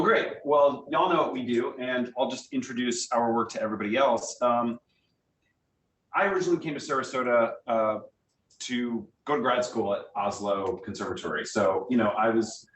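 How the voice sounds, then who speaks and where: A man giving an informal guest talk to a group, explaining things conversationally and addressing his listeners directly. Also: a bit bright. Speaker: guest speaker, theatre director. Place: meeting room, speaking to a live group. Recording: distant echoey room with rumbling noise.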